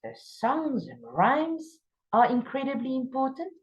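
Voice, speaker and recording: A woman from South Africa with an Afrikaans accent, speaking English as her second language while training teachers. She lectures emphatically, stressing key words with sudden jumps in pitch. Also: big pitch swings, a little slow, highs cut off. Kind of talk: workshop lecture.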